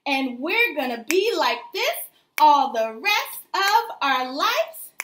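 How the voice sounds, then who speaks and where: Very high, animated woman reading to children. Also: slow. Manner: animated, sing-song, encouraging to young children. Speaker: early childhood teacher reading aloud. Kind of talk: rhythmic rapped children's read-aloud. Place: small plain room indoors. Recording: faint background noise.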